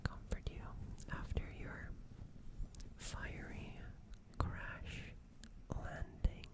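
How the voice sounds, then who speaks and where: Slow, whispering woman, soothing ASMR. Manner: soothing, unhurried, repeats words gently. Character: gentle, caring alien woman comforting a crash survivor. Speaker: ASMR streamer roleplaying a character. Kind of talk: soft whispered ASMR roleplay. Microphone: close ASMR microphone.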